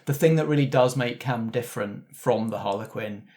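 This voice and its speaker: A man hosting a casual post-game discussion as game master, retelling things in an animated way and putting questions to the players. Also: medium pitch, normal speed.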